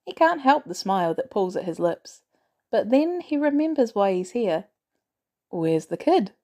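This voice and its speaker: A woman's voice: mid-range voice, very animated, unhurried, dark tone. A woman reading a scripted story aloud as a narrator. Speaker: podfic reader. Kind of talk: scripted narration of fan fiction.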